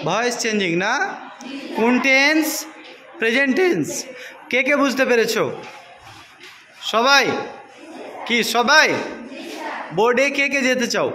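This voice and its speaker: A woman's voice: fairly high pitch, measured pace, long pauses, bright, forceful tone. A woman with a Bangladeshi accent teaching a class interactively, encouraging pupils and prompting them with short questions. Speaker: school teacher. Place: indoor school classroom. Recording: echoey, noisy classroom recording.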